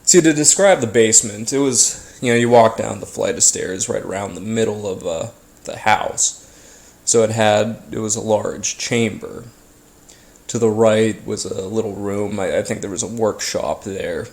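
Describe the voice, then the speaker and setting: A man telling a first-person story casually, as if being interviewed, in a halting, hesitant way with pauses and repeats. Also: lowish voice, creaky, a little mellow. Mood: uneasy, reflective. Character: ordinary man recounting childhood brushes with a haunting.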